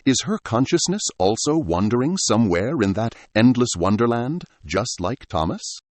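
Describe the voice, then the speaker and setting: A man's voice: mid-range voice, big pitch swings, normal speed, darkish tone, no echo. A man voicing a devoted, determined woman doctor in an audio story, earnest and dramatic.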